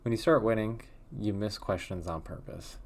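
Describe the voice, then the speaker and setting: A man reading a text horror game aloud in a hushed, measured way with a wondering, uneasy tone. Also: lowish voice. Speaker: let's play host.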